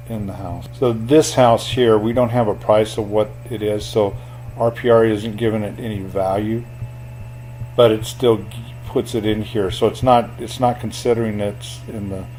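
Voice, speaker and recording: Low-pitched man, flat and unhurried, for a screen tutorial with faint hum. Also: low-quality recording. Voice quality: self-described boring voice. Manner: plain, unhurried explaining with fillers.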